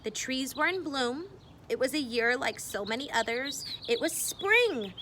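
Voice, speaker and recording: A woman reading a memoir aloud expressively, with deliberate phrasing and dramatic emphasis. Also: high-pitched, expressive, bright, forceful tone, a little hiss or hum. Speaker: reader.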